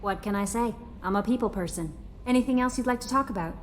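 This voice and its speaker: Actress as friendly young crew assistant in a game, earnest, midrange voice, bit of noise behind. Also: female voice, mid-range voice, quick pace, close to an everyday voice. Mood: upbeat. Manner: eager, personable. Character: friendly, earnest young female ship's aide. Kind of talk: video game voice acting.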